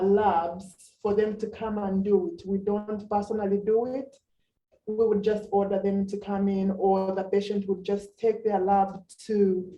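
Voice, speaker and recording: A woman, a nurse practitioner giving an informal talk at a meeting, pausing often with fillers. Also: medium pitch, warm tone, roomy sound, far from the mic, lo-fi sound. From Uganda. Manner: halting, many fillers.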